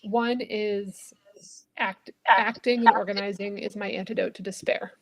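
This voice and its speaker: A woman minister speaking in a thoughtful, searching way, often trailing off softly at the ends of phrases. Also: medium pitch, steady tone, measured pace, creaky, dry recording, rough recording. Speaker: Unitarian Universalist minister. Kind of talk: reflective conversational talk.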